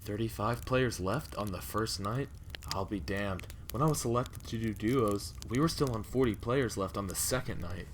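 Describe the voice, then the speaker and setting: A man's voice: fairly low pitch, moderate pace, a little hiss or hum, lightly acted. A man playing a hot-tempered young veteran survivor in an audio drama, bossy, sweary and scornful of rookies. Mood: grim.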